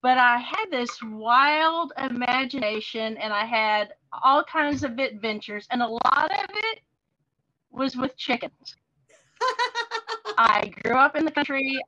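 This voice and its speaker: A woman's voice: high voice, animated, takes it slowly, pushed and bright, no echo, muffled recording. A woman with a Texan accent, a guest author chatting in an interview and laughing often. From Texas, USA. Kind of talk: casual interview chat.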